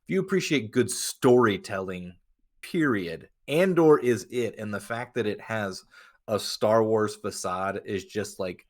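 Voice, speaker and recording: A man co-hosting a podcast, chatting casually and giving opinions in a halting, blunt way with some swearing. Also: fairly low pitch, lively intonation. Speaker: podcast co-host.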